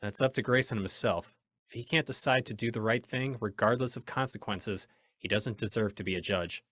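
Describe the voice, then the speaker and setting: A man's voice: fairly low pitch, moderate pace, a bit bright. A man acting a stern, commanding masked vigilante in an old-time radio drama, speaking in firm, menacing declarations. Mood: guarded, deliberate. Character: masked male crime-fighting vigilante, stern, commanding, resolute.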